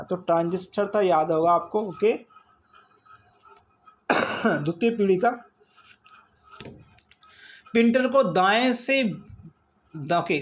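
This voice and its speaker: Man, Indian accent, high-pitched voice, informal teacher, reads and explains quiz questions, repeats himself, checks in often. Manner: repetitive, checks in with listeners using questions and frequent tags. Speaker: online exam-prep teacher.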